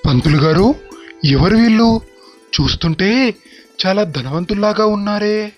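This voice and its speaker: Man acting a humble village father, very high-pitched. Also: animated, unhurried, dull audio, soft background music. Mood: humble, polite. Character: humble village cremation-ground keeper, doting older father. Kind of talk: dramatized moral story acting.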